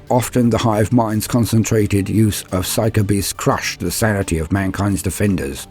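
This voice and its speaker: Deep raspy guy doing dramatic war lore narration, voice swings up and down, music behind him. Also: darkish tone. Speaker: narrator of a science-fantasy lore reading. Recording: voice over background music.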